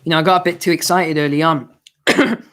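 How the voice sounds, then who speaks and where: A man hosting a live finance stream and answering viewer questions, hoarse from losing his voice, explaining in a chatty way with asides. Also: a little high, fairly even intonation, fast, a bit bright. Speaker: finance channel host.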